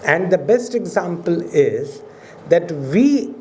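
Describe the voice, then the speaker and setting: Measured Indian man lecturing formally. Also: high voice, sing-song, darkish tone, slight background noise. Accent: Indian English. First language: Urdu. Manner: measured, oratorical, with emphatic rises on key words. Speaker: professor giving a public lecture. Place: museum auditorium.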